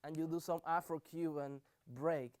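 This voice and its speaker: A man teaching a music workshop, counting in the students and singing drum patterns aloud in an animated way. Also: fairly high pitch, moderate pace, smooth, dry recording, studio quality.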